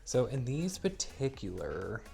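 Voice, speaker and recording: A man's voice: mid-range voice, very animated, measured pace, gravelly, warm tone, faint music behind. Stuffy-nosed man, upbeat how-to demo. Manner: upbeat, explains each step as he works. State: stuffy and slightly wheezy from a cold. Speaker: maker demonstrating a product. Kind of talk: casual how-to demonstration.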